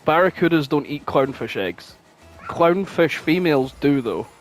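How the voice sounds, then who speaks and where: A man's voice: a little high, expressive, measured pace, faint music behind. A man co-hosting a podcast in casual chat, exclaiming and swearing freely. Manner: foul-mouthed, exclamatory. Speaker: podcast co-host.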